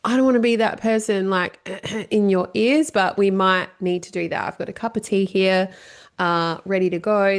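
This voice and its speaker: A woman in her thirties with an Australian accent, hosting a solo podcast in a chatty, rambling way, her voice still a little croaky. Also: medium pitch. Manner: chatty, rambling, lots of "you know" and "like". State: slightly croaky. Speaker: podcast host.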